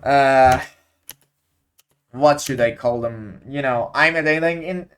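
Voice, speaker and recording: A man explaining video editing effects, speaking in halting English with many pauses and fillers as he searches for words. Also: medium pitch, slight background noise. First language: Persian. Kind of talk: unscripted screen-recorded tutorial.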